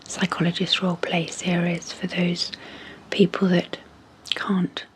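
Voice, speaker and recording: A woman speaking in a breathy half-whisper as an ASMR host, slowly and gently, with long pauses, introducing sleep hypnosis. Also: lowish voice, monotone, rough recording.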